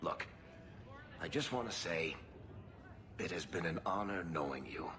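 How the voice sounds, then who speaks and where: A man's voice: low voice, lively intonation, measured pace, over music. A man voicing a sentimental, easygoing adventurer and old friend in a video game, talking sincerely. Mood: fond, sincere.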